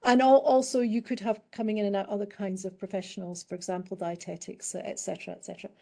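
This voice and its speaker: British lady, middle-aged, mellow warm voice, talks it through slowly with lots of restarts and ums. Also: medium pitch, little pitch movement, vocal fry. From United Kingdom. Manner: thoughtful, halting, with many restarts and fillers. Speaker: guest expert in public health. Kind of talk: conversational panel answers.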